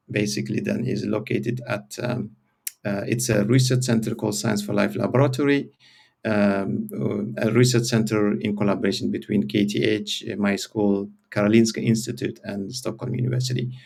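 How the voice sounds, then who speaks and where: A man in his forties from Eritrea and Sweden, a professor explaining things in an interview in a measured, hesitant way with many pauses. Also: fairly low pitch, level tone, vocal fry, a little mellow. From born in Eritrea, grew up in Sweden.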